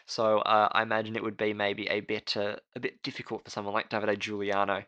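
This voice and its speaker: A man in casual podcast chat about racing. His voice is bright and forceful, sitting on the lower side. He rambles with plenty of fillers and restarts. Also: flat delivery, brisk. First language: English. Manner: chatty, frequent fillers and restarts. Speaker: podcast co-host.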